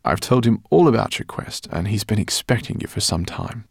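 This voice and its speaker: A man's voice: lowish voice, animated, normal speed, creaky, no room sound, studio quality. A man reading an audiobook, voicing a kindly, flustered older male professor.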